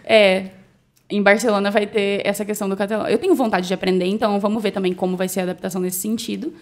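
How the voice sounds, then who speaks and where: Fast-talking Brazilian woman, chatty. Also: mid-range voice, level tone. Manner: chatty, hesitant with restarts. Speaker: guest doctor. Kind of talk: casual interview chat.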